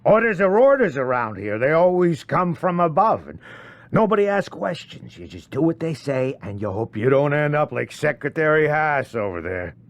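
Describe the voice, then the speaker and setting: Animated, crude corrupt cop. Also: male voice, a little high, normal speed, dry recording. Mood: nervous, evasive. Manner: foul-mouthed, sneering, streetwise. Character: crude, hostile, corrupt male police officer, evasive under pressure. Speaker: video game character. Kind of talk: cinematic game dialogue.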